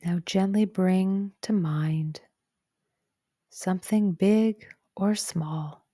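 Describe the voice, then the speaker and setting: Slow, soft woman guiding meditation. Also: medium pitch, dry recording, clean studio sound. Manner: gentle, calm, soothing, soft breathy phrase endings. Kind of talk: guided meditation narration.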